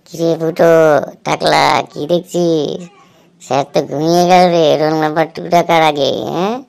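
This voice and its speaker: A woman's voice: low voice, steady tone, normal speed, vocal fry, a little mellow. A woman playing a young school pupil in a comedy cartoon, chatty and complaining in a conspiratorial way. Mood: amused griping. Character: chatty young school pupil griping about sleepy teacher.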